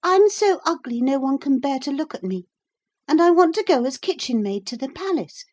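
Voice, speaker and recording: High breathy young princess in disguise, pleading and eager, a lady doing the voice in a storybook. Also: expressive, moderate pace, darkish tone.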